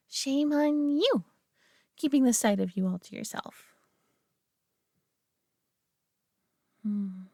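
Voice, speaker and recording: A woman voicing a possessive, doting alien who coos over her pet human in an intimate roleplay audio drama, coddling and softly condescending. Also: sits high, no echo, studio quality. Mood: tender, adoring.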